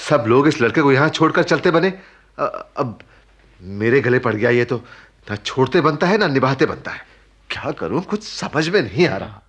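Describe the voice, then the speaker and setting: An actor playing a worried, middle-aged father in a radio play, hesitant and exclaiming, with a husky voice. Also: mid-range voice, expressive, moderate pace, a bit bright. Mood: flustered, exasperated. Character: flustered middle-aged father fretting over an injured stranger. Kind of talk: Hindi radio play acting.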